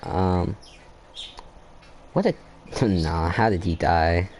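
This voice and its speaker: A man's voice: sits low, extremely slow, darkish tone, a little hiss or hum. A man giving casual commentary while playing through a game's story quest, thinking aloud and often trailing off and restarting his sentences. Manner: laid-back, reacts with short exclamations. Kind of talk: casual let's-play commentary.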